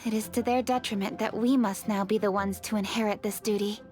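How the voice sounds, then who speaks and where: A woman's voice: medium pitch, fairly even intonation, fairly quick, darkish tone, faint music behind, natural voice. A woman playing an earnest, dutiful young noblewoman in a video game, speaking formally and with composure. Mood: solemn. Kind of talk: video game character acting.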